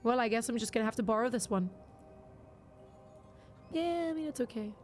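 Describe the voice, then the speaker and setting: A woman's voice: a little high, animated, brisk, a little mellow, faint music behind. A young woman streaming a game, chatting casually and rambling with lots of fillers, her voice a little worn out. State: slightly worn-out voice. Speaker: variety streamer. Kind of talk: casual livestream chat while gaming.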